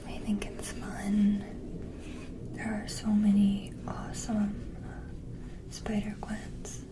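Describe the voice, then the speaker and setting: A woman hosting an ASMR video, chatting casually to viewers in a soft, gentle whisper. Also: medium pitch, monotone, slow pace, low-quality recording.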